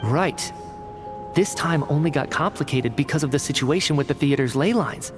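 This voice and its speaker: An actor playing an earnest, analytical researcher in a video game, articulate and inquisitive, reasoning methodically. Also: male voice, on the high side, animated, normal speed, close to an everyday voice. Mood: thoughtful. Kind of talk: video game dialogue acting. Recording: studio voice under clear game music. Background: background music.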